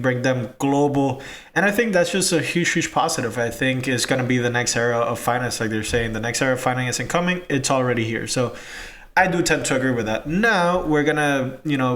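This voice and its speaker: A man hosting a casual commentary video, slightly hoarse from a cold, upbeat and chatty. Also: mid-range voice, a bit bright. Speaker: crypto video host.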